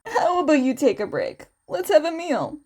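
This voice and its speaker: A woman's voice: high voice, big pitch swings, normal speed, a little mellow, pitched above the performer's own voice. A woman voicing an easygoing, protective teenage boy with a casual, friendly manner in a story reading.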